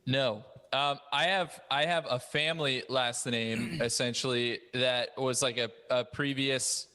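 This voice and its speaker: Man, podcast host, bright forceful voice, medium pitch, casual chat. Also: fairly even intonation, a little slow, clear, resonant tone, long reverb tail.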